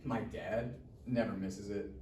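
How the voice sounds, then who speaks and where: An actor playing a cheeky, defiant teenage stoner in a live comedy table read. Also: male voice, lowish voice, steady tone, slow pace. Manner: cheeky, slangy. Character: smart-mouthed, defiant stoner teenage boy. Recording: echoey room, distant mic, faint noise.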